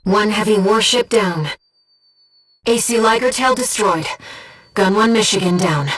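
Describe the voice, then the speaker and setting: A woman voicing a gentle, disembodied female being who guides a pilot in a video game, speaking in a composed, earnest and precise way. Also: medium pitch, measured pace, far from the mic. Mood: calm, focused. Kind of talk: video game mission support voice acting.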